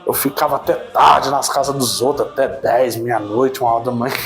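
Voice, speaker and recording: Man in his thirties, casual testimony, lively then quiet storytelling, middle pitch, faint music underneath. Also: brisk. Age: 30s. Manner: animated storytelling, frequent "né?" tags, swings between excited and subdued. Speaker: church member giving testimony.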